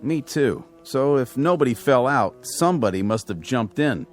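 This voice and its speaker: An actor playing a calm, firm state trooper questioning people in an audio drama. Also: male voice, mid-range voice, normal speed, faint music behind, lightly acted. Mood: calm authority.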